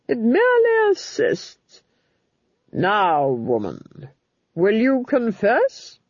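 A woman's voice: a little high, wildly swooping pitch, very slow, a little mellow, dry recording, voice pushed up, exaggerated voice. A woman voicing a haughty, cruel Duchess, dull recording. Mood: cold menace. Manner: imperious, clipped commands. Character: haughty, cruel Duchess, menacing and coolly impatient. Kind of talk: audiobook character voice.

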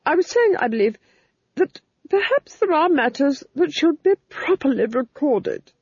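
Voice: high voice, expressive, measured pace, no echo, pitched above the performer's own voice. An audiobook reader voicing a domineering, cold duchess who speaks in a commanding, cutting way, in a dull lo-fi recording. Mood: imperious.

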